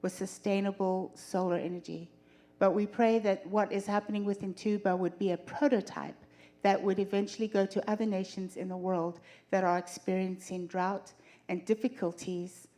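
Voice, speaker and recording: A woman's voice: medium pitch, flat delivery, normal speed. Woman from Zimbabwe hosting a seminar. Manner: warm, organized, introduces speakers and lays out the agenda. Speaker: presenter of a church seminar. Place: church hall.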